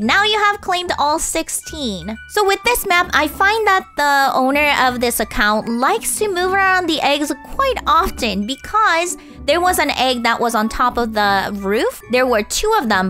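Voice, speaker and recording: A woman's voice: high-pitched, sharp tone. A woman casually narrating a game walkthrough, guiding viewers step by step, her voice tired and strained. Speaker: gaming channel host.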